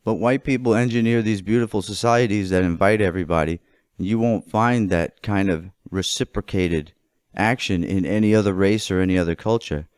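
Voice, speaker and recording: A man with a fairly low, clear and smooth voice speaks as a political commentator in an opinionated monologue. He sets out his phrases deliberately and with emphasis. The sound is dry and close, with very little room in it. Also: darkish tone, no echo. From United States.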